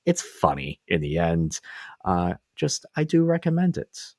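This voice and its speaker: A man's voice: low voice, big pitch swings, a little slow, darkish tone, no echo, studio quality. A man hosting a casual comic book review show, rambling and joking as he recaps issues. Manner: laid-back, rambling, joking. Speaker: comic book podcast host.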